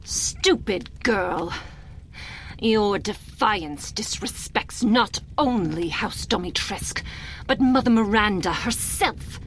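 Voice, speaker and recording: A woman playing an imperious, seductive and menacing vampire noblewoman in an ASMR roleplay, haughty and theatrical, with drawn-out phrasing. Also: medium pitch, expressive, rough recording. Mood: stern, contemptuous. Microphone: binaural microphone. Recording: close binaural mic, faint background noise.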